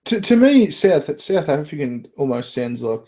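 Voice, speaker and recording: A man's voice: a little high, big pitch swings, normal speed, a little mellow. An Australian man chatting casually as a host. He swears and laughs freely between his remarks. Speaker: podcast host. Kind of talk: casual chat.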